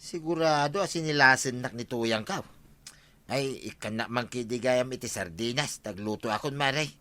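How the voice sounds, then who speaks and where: Actor as a sharp-voiced, henpecked husband. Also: male voice, medium pitch, moderate pace, lightly acted. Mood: fearful. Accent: Ilocano. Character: put-upon henpecked husband, timid and complaining. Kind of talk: Ilocano radio comedy drama acting.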